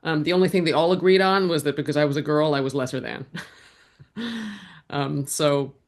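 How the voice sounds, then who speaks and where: A woman's voice: deep voice, fairly even intonation, a little fast. A woman answering interview questions as a guest, in a reflective way with frequent restarts. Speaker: guest, actor and writer.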